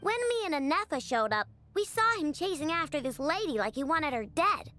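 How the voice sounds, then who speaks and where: A tiny, inquisitive fairy sidekick in a video game, squeaky and childlike. The chirpy voice leaps all over in pitch and exclaims bluntly, with music clearly behind it. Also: female voice, big pitch swings, normal speed, bright, forceful tone, exaggerated voice. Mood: excited, curious. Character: small, excitable, inquisitive childlike fairy companion. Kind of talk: animated video game character acting.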